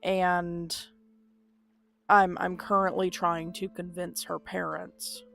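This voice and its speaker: Low-voiced woman, creaky, chatting on stream. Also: little pitch movement, slow pace, a bit bright. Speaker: game streamer. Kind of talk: casual live-stream chat over gameplay. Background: video game music.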